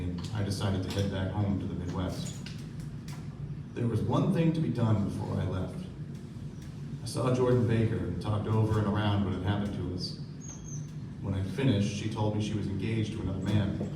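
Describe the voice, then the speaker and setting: An actor playing a reflective, disillusioned young man, narrating a staged literary radio play in a measured, solemn way. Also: lowish voice, steady tone, heavy background noise, close to an everyday voice. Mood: somber, reflective. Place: live theatre stage. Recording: echoey hall, distant mic, loud music behind.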